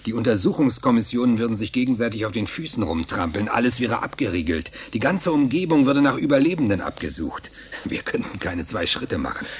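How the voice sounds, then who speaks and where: An actor playing a level-headed, pragmatic leader of escape plotters in a science fiction radio play. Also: male voice, mid-range voice, animated, brisk, slight background noise, natural voice. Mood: reasoning.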